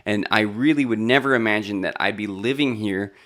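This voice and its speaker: A man's voice: on the low side, fairly quick, fairly bright tone, slight background noise. A man in his thirties from California, talking casually to a vlog camera about his own life, with lots of fillers and restarts. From Los Angeles, California. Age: 30s. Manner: chatty, with frequent fillers and restarts. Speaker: YouTube host.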